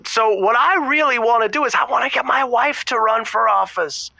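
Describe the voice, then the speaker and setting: A man playing an eager, guileless dad who works in politics, phoning a radio comedy show. His voice is bright and forceful, recorded dry, with halting but earnest enthusiasm. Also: very high voice, vocal fry, dry recording, full character voice. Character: eager, guileless state senator and stay-at-home dad caller.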